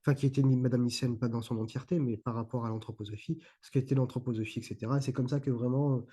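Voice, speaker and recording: A man's voice: medium pitch, steady tone, rapid-fire, dark tone, husky. A middle-aged man from Provence, a guest talking casually in an interview, hesitant with many fillers and restarts. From Provence, France. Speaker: guest, YouTuber on esotericism.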